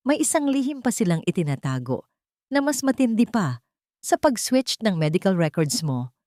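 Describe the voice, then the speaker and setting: A woman's voice: medium pitch, sing-song, moderate pace, dark tone, no room sound, clean studio sound, close to an everyday voice. A woman voicing a brooding, devoted man in love in an earnest, solemn storybook drama manner. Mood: grave, secretive.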